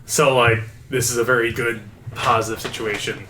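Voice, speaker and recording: A man's voice: mid-range voice, steady tone, moderate pace, bright, forceful tone. A man playing a tabletop game, chatting casually and thinking aloud as he improvises his character's choices. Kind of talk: casual improvised roleplay chat. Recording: echoey room, background noise.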